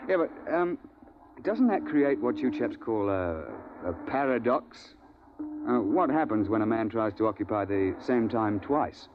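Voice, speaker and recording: An actor playing a seasoned big-game hunting guide who also narrates, in a 1950s radio drama, clipped and dryly ironic. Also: male voice, lowish voice, animated, unhurried, noisy background. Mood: wry. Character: seasoned male time-travel big-game hunting guide, dry and unflappable. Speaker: narrator and lead character. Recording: dull old radio recording with music.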